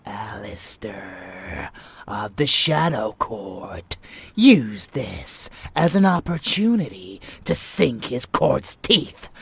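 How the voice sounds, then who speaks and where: A man voicing an unhinged, fanatical vampire lover in dark romance ASMR roleplay, theatrical and menacing yet doting, husky and often dropping to a whisper. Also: lowish voice, big pitch swings, full character voice. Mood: grandiose, menacing. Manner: theatrical, savoring words, slow with dramatic pauses.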